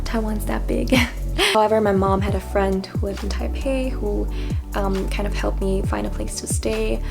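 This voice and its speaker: A woman's voice: medium pitch, creaky. A young woman talking candidly in a casual vlog, reflective and self-deprecating, often laughing at herself. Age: young adult. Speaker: vlogger.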